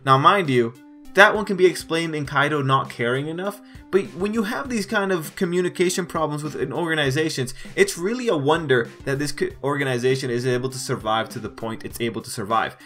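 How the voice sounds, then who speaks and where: A man's voice: on the high side, fairly quick, pushed and bright, soft background music. A man giving a casual manga review as a voice-over, emphatic and repeating phrases to drive points home. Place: voice-over over still images.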